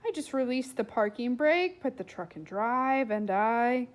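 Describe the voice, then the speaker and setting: A man's voice: extremely high pitch, unhurried. A woman voicing an earnest, tearful boy with wounded pride, speaking in precise, formal phrases for a storybook. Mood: defensive, formal.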